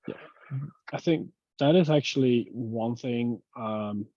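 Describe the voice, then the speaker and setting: A man talking casually and haltingly through technical matters in an online meeting. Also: medium pitch, warm tone, no echo. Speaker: working group member giving a technical update. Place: online conference call. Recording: slightly dull, lo-fi call audio.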